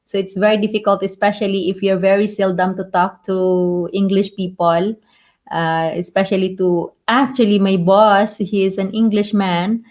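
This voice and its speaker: A woman from the Philippines with a Filipino accent, a native Filipino speaker, hosting a casual live stream chat in halting, simple phrases that she often repeats. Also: medium pitch, level tone, darkish tone.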